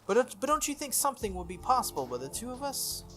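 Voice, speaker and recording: Smug visual novel schoolboy played by a man, breezy and needling, very animated pitch, music faintly behind. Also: fast, exaggerated voice. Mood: persuasive. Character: smug, falsely friendly teenage schoolboy schemer.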